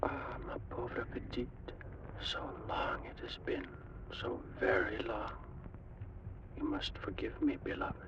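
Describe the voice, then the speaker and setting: An actor playing an ancient Egyptian priest, lovelorn and menacing, speaking slowly and solemnly in an old-time radio drama. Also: male voice, medium pitch, wildly swooping pitch, creaky, very noisy, exaggerated voice. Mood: tender grief. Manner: slow, solemn, murmuring delivery. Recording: muffled old radio recording with music.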